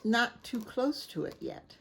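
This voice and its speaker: A woman reading a picture book aloud to children, gently chatting and stopping to ask the listener questions. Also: a little high, big pitch swings, unhurried, breathy edge. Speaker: storybook reader.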